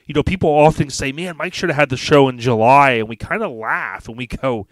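A man hosting a casual podcast, talking in a relaxed, chatty, friendly way. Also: medium pitch, a little fast, no echo. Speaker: podcast host.

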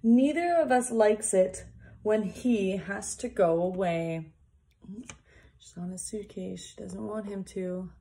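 A young woman reading a picture book aloud for small children, deliberately, with casual asides. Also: mid-range voice, vocal fry, warm tone. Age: young adult. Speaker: early years worker reading a picture book. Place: small plain indoor room, seated at a desk. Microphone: phone or device propped at arm's length.

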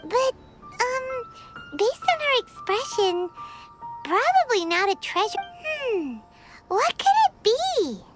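A woman voicing a small, excitable, chatty fairy girl companion in a video game, squeaky and childlike. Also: slow, exaggerated voice. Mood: puzzled. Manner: refers to self in third person, exclaiming. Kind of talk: English video game voice acting. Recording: background music behind voice.

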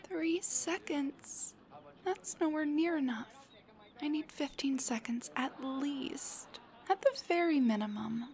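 Woman acting a soft, high-voiced, clingy girlfriend. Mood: playful haggling. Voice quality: soft, breathy, often near a whisper. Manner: cutesy, pleading, teasing. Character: playful, clingy, affectionate young girlfriend. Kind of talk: intimate ASMR girlfriend roleplay.

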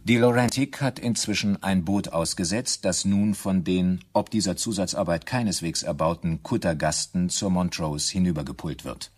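Deep, husky male radio drama narrator. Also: moderate pace, few pauses. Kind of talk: scripted radio drama narration in present tense.

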